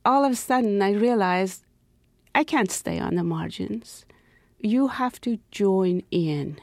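A woman from Iran, a professor and poet, speaking as an interview guest in an expressive way, dropping to a whisper for emphasis. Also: medium pitch, slow pace, no room sound, studio quality. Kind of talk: interview conversation.

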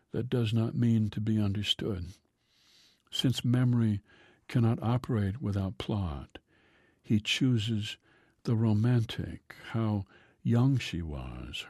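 A man hosting a radio segment, reading an almanac and a poem in a calm, measured way with slow drawn-out line endings. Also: on the low side, no room sound, clean studio sound. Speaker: radio host.

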